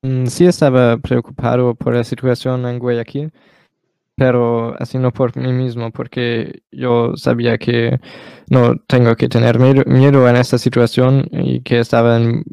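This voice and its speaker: A seventeen-year-old boy from Germany speaking Spanish as a learner, pausing often with fillers as an interview guest. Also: mid-range voice, level tone, vocal fry, mellow, clear, resonant tone, dry recording. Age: teens. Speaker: exchange student guest. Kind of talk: casual interview.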